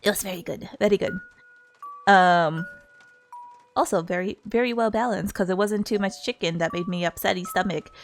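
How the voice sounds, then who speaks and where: A woman's voice: medium pitch, moderate pace, soft background music. A woman livestreamer chatting casually in a playful, giggly, rambling way. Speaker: streamer.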